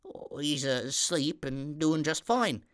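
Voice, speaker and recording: Brief: jittery young kitchen boy in a storybook, played by a male reader; stammering, slow, hesitant. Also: on the high side, lively intonation, voice pushed up. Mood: nervous.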